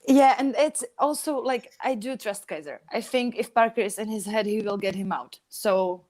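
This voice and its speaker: A woman's voice: on the high side, moderate pace, crowd noise. A woman who is a native Czech speaker, co-hosting a show in casual chat. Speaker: show co-host.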